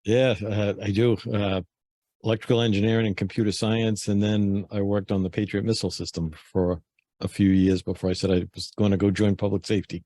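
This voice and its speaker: A man co-hosting a podcast, speaking in a guarded, measured way in casual chat. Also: lowish voice, little pitch movement, warm tone, no echo. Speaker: podcast co-host.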